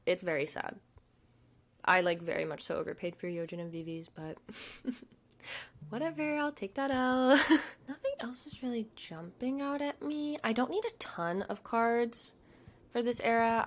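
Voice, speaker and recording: Young woman, casual vlog chat, slight stutter, filler-heavy, murmured asides, mid-range voice. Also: medium pitch. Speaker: vlogger. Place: home desk.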